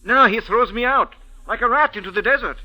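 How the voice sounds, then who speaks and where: Servile nervous egyptian sand diviner, sharp bright voice, old radio drama. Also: male voice, extremely high pitch, exaggerated voice, low-quality recording. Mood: indignant, agitated. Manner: flowery, formal, halting pleading. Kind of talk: 1940s radio acting. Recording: vintage 1940s radio broadcast.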